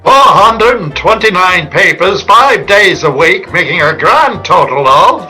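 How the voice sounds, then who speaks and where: A punchy radio-play announcer, acted by a man. His high voice is extremely sharp and forceful as he proclaims, with faint music behind. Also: expressive, measured pace, exaggerated voice, rough recording. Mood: proclaiming. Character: punchy male announcer reading out headlines and figures. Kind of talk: old-time radio acting.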